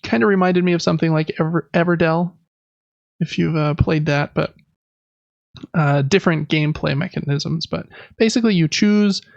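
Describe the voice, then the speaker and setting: A man's voice: on the high side, vocal fry, no room sound, muffled recording. A man hosting a casual board game podcast, talking in an informal, conversational, meandering way. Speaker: podcast host.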